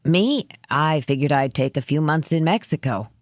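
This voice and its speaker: A woman's voice: low voice, expressive, moderate pace, dry recording. A woman voicing a cocky male hired thug who talks with casual, breezy reassurance.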